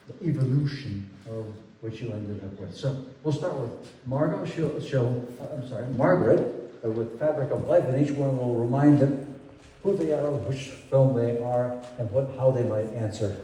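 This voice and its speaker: A man's voice: medium pitch, mellow. A man hosting a live film festival Q&A on stage, talking in loose, rambling sentences. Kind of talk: emceeing a live Q&A. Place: large hall with stage. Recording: echoey hall, distant mic, faint hum.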